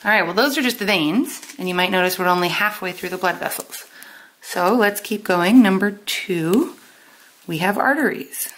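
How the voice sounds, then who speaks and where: A woman teaching a step-by-step lesson in a deliberate, instructional way, pausing and stressing key terms. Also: mid-range voice, a bit bright, rough recording. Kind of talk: step-by-step lesson with pauses for note-taking.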